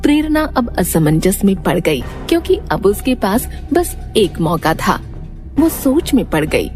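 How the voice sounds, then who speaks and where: A woman narrating a scripted story in an expressive storytelling manner. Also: medium pitch, unhurried, faint music behind.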